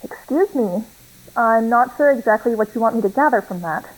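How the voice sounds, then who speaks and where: A woman's voice: on the high side, animated, poor audio. A woman playing a guarded, businesslike leader of a secret militant group in a radio play, speaking formally and curtly. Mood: guarded, impatient. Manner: formal, curt, measured.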